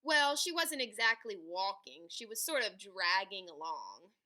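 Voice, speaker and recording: A woman reading a children's picture book aloud in an animated, dramatic way, stretching key words for emphasis. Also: mid-range voice, measured pace. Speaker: read-aloud storyteller.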